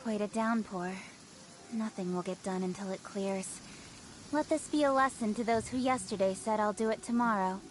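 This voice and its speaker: A woman playing a shrewd, poised adventurer with a head for business in a video game, measured and instructive. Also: mid-range voice, a little hiss or hum, lightly acted, low-quality recording. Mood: poised, wry.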